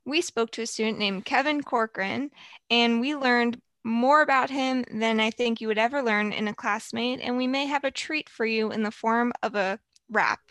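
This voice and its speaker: A woman's voice: fairly high pitch, normal speed, dry recording. A young woman co-hosting a podcast in a cheerful, friendly way. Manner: cheerful, warm. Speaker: podcast co-host.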